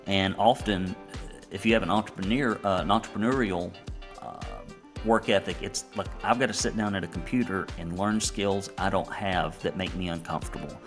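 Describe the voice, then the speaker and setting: A middle-aged man with a Southern US accent, talking conversationally as an interview guest and telling stories about his work. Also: lowish voice. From Alabama, United States. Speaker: guest, business coach and painting academy founder.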